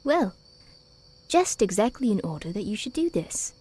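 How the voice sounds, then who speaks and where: A woman playing a precocious, charming young boy in a gothic audio drama, teasing, polite and deliberate. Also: mid-range voice, big pitch swings, darkish tone, breathy edge.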